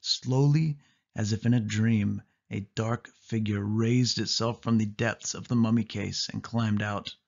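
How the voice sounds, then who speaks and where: A man narrating a suspense story in the first person, with expressive, dramatic delivery. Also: fairly low pitch, unhurried, gravelly, lo-fi sound. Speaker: audiobook narrator.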